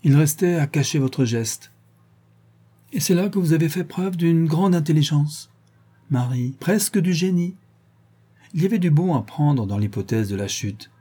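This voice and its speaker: Stern middle-aged woman interrogator, read by a man, husky and mellow, coldly accusing. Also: fairly high pitch, expressive, normal speed, voice pushed up. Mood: probing. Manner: cold, probing, relentlessly accusing.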